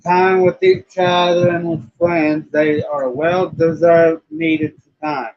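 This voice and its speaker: A man from Oklahoma co-hosting a casual livestream, halting and repeating words as he talks. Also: a little high, flat delivery, darkish tone, lo-fi sound. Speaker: livestream co-host.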